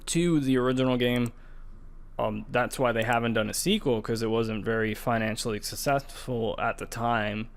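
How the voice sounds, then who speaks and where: A man's voice: medium pitch, fairly even intonation, normal speed, clear, resonant tone. A man co-hosting a gaming podcast, talking things over in a casual, conversational style. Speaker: podcast co-host.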